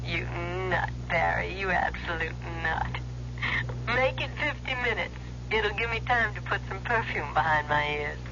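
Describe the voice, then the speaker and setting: A woman's voice: lowish voice, animated, a little slow. An actress playing a sleepy, teasing young woman on the phone in a radio drama, wry and playful. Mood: amused. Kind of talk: 1970s radio drama acting. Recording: noisy, dull radio recording, telephone sound.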